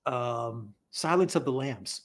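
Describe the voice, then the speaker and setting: A man of middle pitch chats loosely as a guest on a live stream. He repeats his words as he goes and laughs often between thoughts. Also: expressive, slow. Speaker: guest commentator. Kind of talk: casual live-stream chat.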